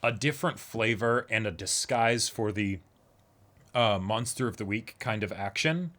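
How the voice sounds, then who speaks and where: A man's voice: fairly low pitch, measured pace, a bit bright. A man chatting casually as co-host of a reaction show, talkative, agreeable and enthusiastic in manner. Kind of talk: casual discussion of an anime episode.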